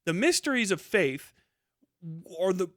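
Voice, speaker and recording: A man's voice: sits high, sing-song, unhurried, fairly bright tone, no echo, studio quality. A man delivering a casual, ranting livestream monologue in a colloquial, rambling, sweary way. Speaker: livestream host.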